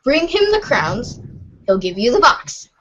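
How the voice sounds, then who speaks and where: A woman's voice: a little high, big pitch swings, unhurried, a crowd in the background. A woman reading webcomic narration aloud in an informal, giggly way for a fan podcast. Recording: dull lo-fi voice recording.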